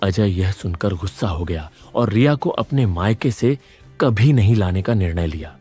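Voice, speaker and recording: A man's voice: on the low side, expressive, normal speed, warm tone, breathy edge, soft background music. A man reading scripted narration for a moral story as its narrator.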